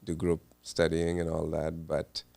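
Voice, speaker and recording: Very low gravelly man, halting speech. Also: monotone, a little mellow, no room sound. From Pakistan. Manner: hesitant, many ums and uhs. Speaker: cardiologist guest. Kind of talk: relaxed interview answers.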